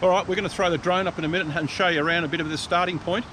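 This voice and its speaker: An Australian man hosting a motorcycle vlog, chatting casually outdoors in an easygoing way, with relaxed local slang. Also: rapid-fire. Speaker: vlog host and adventure motorcyclist. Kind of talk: casual chat to camera. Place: outdoors by the roadside. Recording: outdoor noise with vehicle rumble.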